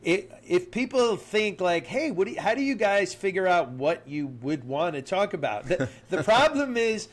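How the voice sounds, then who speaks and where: A man co-hosting a podcast in casual banter, with a nasal, stuffed-up voice, chatty and quick to laugh. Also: high-pitched, very animated. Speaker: podcast co-host.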